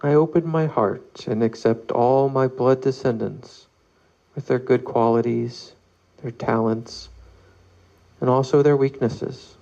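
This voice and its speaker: A man guides a meditation in a mellow voice with a creaky edge. He reads slowly and calmly, leaving long pauses between measured phrases. The recording sounds a little muffled. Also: mid-range voice. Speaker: meditation leader. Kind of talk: guided meditation, read aloud.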